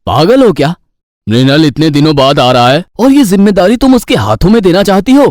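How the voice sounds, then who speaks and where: A man's voice: on the high side, expressive, fairly quick, darkish tone, no room sound, studio quality, close to an everyday voice. A man voicing an exasperated, grumbling village father in a Hindi cartoon story, scolding and doubtful.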